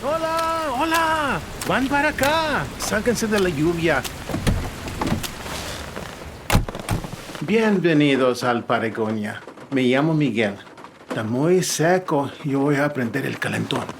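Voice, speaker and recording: A chatty, higher-pitched rural innkeeper. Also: male voice, expressive, normal speed. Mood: welcoming. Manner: talkative, folksy, complaining. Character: welcoming, chatty, fretful rural innkeeper man. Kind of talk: audio drama acting. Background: ambient noise.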